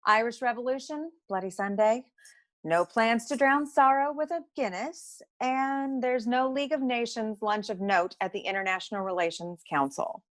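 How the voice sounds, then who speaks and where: A testy secretary from a noir comedy radio play, acted by a woman, with a fairly high voice. She is brisk, matter-of-fact and dryly superior, a know-it-all with little patience. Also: close to an everyday voice. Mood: testy, curt.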